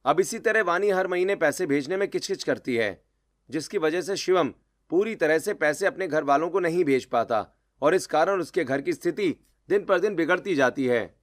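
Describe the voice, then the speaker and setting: A man's voice: fairly high pitch, animated, moderate pace. A man narrating a scripted moral story in a storyteller's role.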